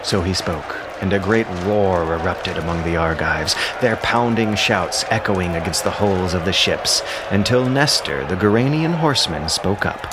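Low-voiced male epic narrator. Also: animated, normal speed, hardly any pauses. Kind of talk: scripted epic audio drama narration. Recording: loud ambient noise behind narration.

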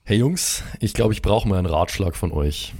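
A man's voice: sits low, animated, normal speed, darkish tone. A man hosting as game master, improvising narration and bantering casually.

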